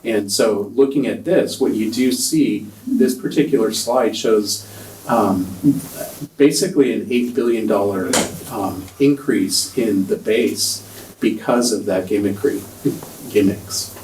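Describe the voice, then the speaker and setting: A soft-spoken man giving a budget presentation at a school board meeting, hesitant with many ums. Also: on the low side, a little slow. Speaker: school district finance presenter. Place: large meeting room. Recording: echoey room, distant mic, faint noise.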